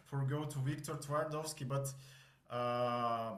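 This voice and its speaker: A man in his thirties from Russia with a Russian accent, a voice student telling his story in a podcast interview, halting and searching for words. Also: medium pitch, steady tone, a bit bright. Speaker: voice student guest. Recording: echoey room.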